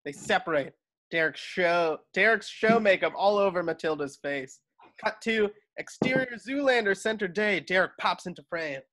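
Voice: medium pitch, expressive, moderate pace, a bit bright. A narrator reading screenplay stage directions for a table read over a video call.

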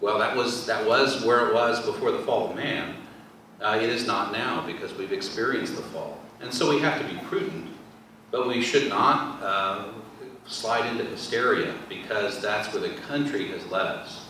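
Middle-aged man, deacon, measured, earnest, low voice, table mic, echoey hall. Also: bright, forceful tone, recorded from a distance, slight background noise. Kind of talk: panel discussion. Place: large church hall.

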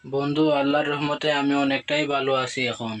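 A courteous male friend paying a visit in a cartoon, voiced by a man. His pitch is medium and barely moves. He talks in a measured, polite way. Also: level tone, fairly bright tone, close to an everyday voice. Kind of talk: scripted cartoon dialogue.

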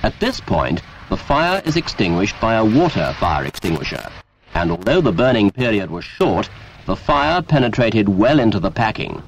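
A British man reading a scripted 1970s training film in a formal, measured, emphatic way, as a narrator. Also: fairly low pitch, big pitch swings, a little hiss or hum. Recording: dull, lo-fi 1970s 16mm film soundtrack.

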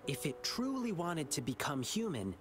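Earnest boyish anime hero over game music. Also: male voice, on the high side, big pitch swings. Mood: wistful. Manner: earnest, measured, wistful storytelling. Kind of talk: video game story dialogue.

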